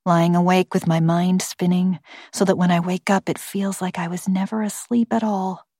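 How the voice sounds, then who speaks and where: A woman narrator reads as a defiant, quick-witted girl in an audiobook. She is earnest and assertive, ready to argue her point. The sound is close and dry. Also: lowish voice, flat delivery, mellow, no room sound. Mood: brooding. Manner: assertive, argumentative. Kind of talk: audiobook character voice.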